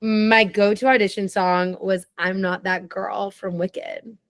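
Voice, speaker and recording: A young woman guesting on a podcast, chatting eagerly in a low, raspy voice with plenty of vocal fry. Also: steady tone. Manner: chatty and enthusiastic, quick tangents. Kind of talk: casual chat.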